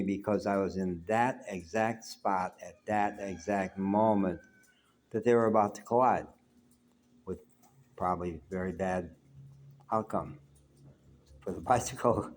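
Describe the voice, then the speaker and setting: An older man from the United States, talking in a reflective, rambling way to camera from his apartment, saying he has a big voice. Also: on the low side. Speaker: vlogger. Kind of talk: reflective solo talk.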